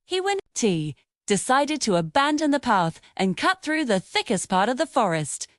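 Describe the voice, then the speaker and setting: A woman's voice: on the high side, very animated, moderate pace, no echo, clean studio sound. A woman reading a scripted children's story as its narrator.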